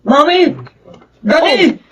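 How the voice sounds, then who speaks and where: A young boy in a radio drama, earnest and boyish. The voice is high, over a slightly noisy recording. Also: animated, slow, a bit bright, heavily acted. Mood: alarmed. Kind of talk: Filipino radio drama acting.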